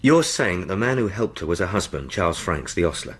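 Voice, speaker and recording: An actor playing an earnest, deferential police sergeant in a radio drama, plain-spoken, husky and full of questions. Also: male voice, deep voice, big pitch swings, a little fast, full character voice. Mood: puzzled, earnest.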